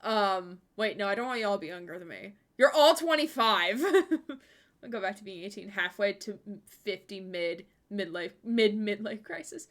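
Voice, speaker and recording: A woman's voice: medium pitch, lively intonation, moderate pace, a bit bright. A woman in her twenties chatting casually on a livestream, laughing often and joking at her own expense. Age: 20s. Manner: giggly, self-deprecating, laughs often while talking.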